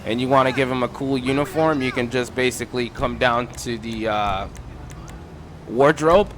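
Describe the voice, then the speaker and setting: A man's voice: medium pitch, normal speed, fairly bright tone, a little hiss or hum. A man casually walking viewers through game mods in an informal, instructive way, addressing them as guys. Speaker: gaming tutorial creator.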